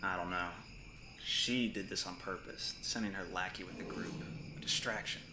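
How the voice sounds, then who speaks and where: An actor playing an uneasy, hesitant young accomplice murmuring in an audio drama. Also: male voice, deep voice, fairly even intonation, moderate pace, lightly acted. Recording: echoey, quiet, noisy with insect chirps.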